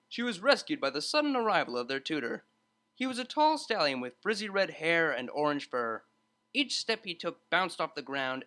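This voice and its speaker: Lively, bright-voiced male storyteller. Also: a little high. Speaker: audiobook narrator. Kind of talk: scripted story narration.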